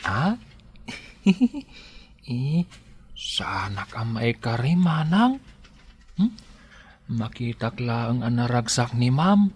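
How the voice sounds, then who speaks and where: A bashful, devoted servant man with an Ilocano accent. He speaks slowly in a medium-pitched, very expressive voice, humble and earnest. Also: slightly rough, exaggerated voice. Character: shy, devoted, humble male servant, secretly in love. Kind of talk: Ilocano radio drama acting.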